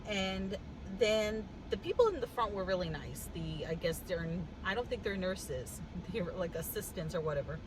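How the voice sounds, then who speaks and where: A woman with a cough telling a story in a casual vlog, animated and wry, laughing as she goes, sitting in a car. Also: fairly low pitch, moderate pace, background noise. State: congested with a cough. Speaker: vlogger. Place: in a car with the air conditioning on.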